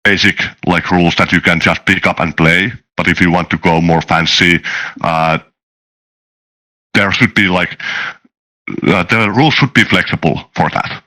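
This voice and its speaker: A man in a casual discussion, explaining things carefully and haltingly as a developer on a panel. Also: low-pitched, pushed and bright, rough recording.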